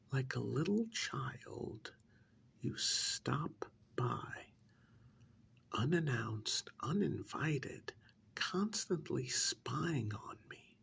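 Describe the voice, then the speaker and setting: A man acts out a coldly menacing killer in an intimate ASMR role-play. His male voice is soft and breathy, often sinking into a whisper with a gravelly crackle. He speaks extremely slowly and deliberately, patronizing his captive with drawn-out pauses. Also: mid-range voice, very animated, full character voice. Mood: soft contempt.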